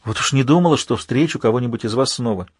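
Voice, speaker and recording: A man voicing a battered but resolute, motherly woman with a hoarse voice in an audiobook. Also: medium pitch, quick pace, dry recording, studio quality, natural voice. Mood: weary, tender. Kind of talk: dramatic audiobook character voice.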